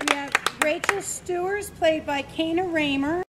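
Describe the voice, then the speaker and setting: A young woman reads narration aloud for a school play in a plain, steady way. Her voice sits high. Clear background noise runs behind her. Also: measured pace.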